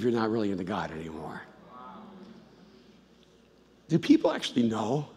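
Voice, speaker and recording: A middle-aged man from El Salvador preaching a sermon, swinging between loud emphatic bursts and low, intimate asides, and telling stories with frequent restarts. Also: husky. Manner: conversational storytelling with repeated restarts. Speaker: pastor. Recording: long hall reverb.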